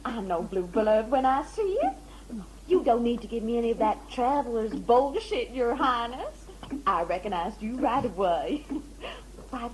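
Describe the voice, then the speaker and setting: A woman's voice: a little high, a little mellow. A woman playing a gushing, coy, flirtatious hostess in an audio drama. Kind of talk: fan audio sci-fi drama acting. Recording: noisy amateur audio-drama tape.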